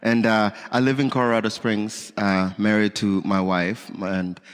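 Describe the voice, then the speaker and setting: A man's voice: on the low side, level tone, a little slow, clear, resonant tone. A man from Kenya with a Kenyan accent, a guest on stage giving his testimony, earnest and hesitant. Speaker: guest speaker. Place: large church sanctuary. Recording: reverberant church hall.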